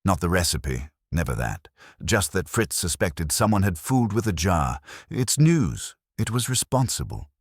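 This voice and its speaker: Man voicing a defensive young woman, husky. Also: low-pitched, big pitch swings, normal speed, hardly any pauses, dry recording, clean studio sound, pitched above the performer's own voice, heavily acted.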